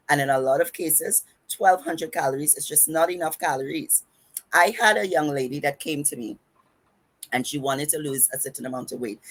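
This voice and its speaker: A woman's voice: low-pitched, husky, low-quality recording. A woman with a Trinidadian accent hosting a podcast, giving fitness and diet advice in an emphatic, instructive way, running her words together. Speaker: podcast host and fitness coach.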